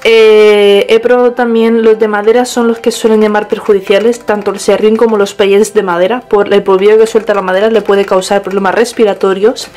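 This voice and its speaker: A woman's voice: mid-range voice, level tone, fairly quick, few pauses, a little mellow. A young woman, chatting casually through a home tour, often correcting herself, filmed on a small handheld camera in her bedroom. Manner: chatty, restarts and self-corrections. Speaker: pet vlogger.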